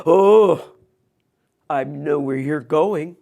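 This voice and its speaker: A woman voicing a sly, hungry male wolf in a fairy tale, coaxing in drawn-out phrases broken by howls. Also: deep voice, gravelly, mellow, heavily acted.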